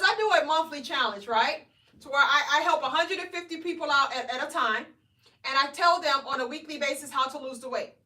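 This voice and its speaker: A woman, coaching weight loss on a live stream in an energetic, preachy way, often asking "right?". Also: high voice, roomy sound, distant mic. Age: 40s. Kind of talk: live stream motivational talk.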